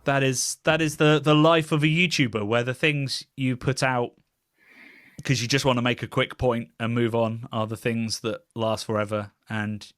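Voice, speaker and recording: A man's voice: mid-range voice, a bit bright, dry recording. British man chatting on a podcast, dry sound. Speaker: podcast host, beer YouTuber. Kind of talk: casual podcast chat.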